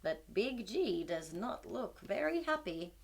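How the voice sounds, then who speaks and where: A woman's voice: medium pitch, very animated, takes it slowly, gravelly. A woman hosting a children's storytime, talking with exaggerated wonder, frequent gasps and questions to her young listeners. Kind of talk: children's read-aloud and singalong.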